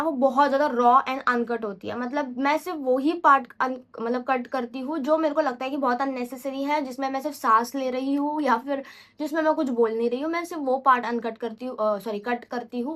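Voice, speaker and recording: A woman's voice: high-pitched, a bit bright. A young woman vlogger talking casually and unscripted, rambling and chatty, slipping English phrases into her Hindi and often correcting herself. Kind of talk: casual unscripted vlog talk.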